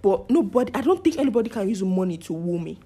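A young woman, hosting a podcast and chatting loudly with lively energy. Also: mid-range voice, fast. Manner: loud, hyped, animated storytelling. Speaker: podcast host. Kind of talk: casual chat.

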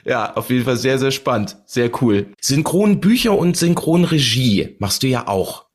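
A man's voice: medium pitch, expressive, normal speed. A man hosting a casual podcast interview, chatty and often affirming what he hears.

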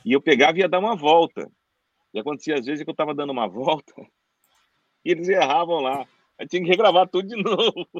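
A man's voice: a little high, expressive, quick pace, dry recording. A Brazilian man, a voice-over announcer, telling stories as a guest in a casual interview, chatty and full of restarts. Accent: Brazilian Portuguese. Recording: dull dry lo-fi sound.

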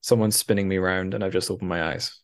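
A man's voice: deep voice, steady tone, fast talker. A man hosting a podcast, chatting casually about fiction and feeling for his words as he retells it with some animation. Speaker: podcast host. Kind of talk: casual book discussion.

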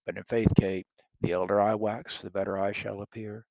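A man's voice: lowish voice, little pitch movement, normal speed, vocal fry, darkish tone, dry recording, muffled recording. A man reading the part of a bold young English king wooing a princess, declaiming the old verse earnestly. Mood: ardent.

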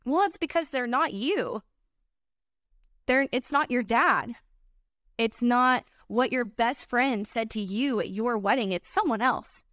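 A woman's voice: fairly high pitch, measured pace, a bit bright, dry recording, studio quality. A woman wedding filmmaker, a podcast guest, talking in an earnest, chatty way full of "like" and "you know". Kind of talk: casual podcast chat.

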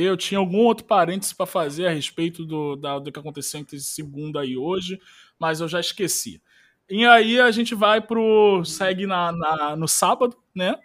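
A man hosting a loose chat show, with a high voice and a bright, forceful tone. He gossips and jokes in an animated way, mixing teasing with quick asides. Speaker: podcast host. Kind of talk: casual chat.

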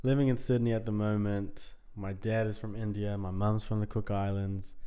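A man's voice: lowish voice, level tone, moderate pace, dark tone, smooth. A man in his twenties from New Zealand, chatting casually and laughing easily. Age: 20s. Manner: laid-back, laughs often. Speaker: musician and actor. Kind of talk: casual chat.

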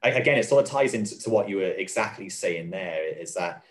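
A man explaining policy in an interview, earnest and measured, often restarting and repeating phrases. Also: low-pitched, lively intonation. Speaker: guest, advocacy spokesman.

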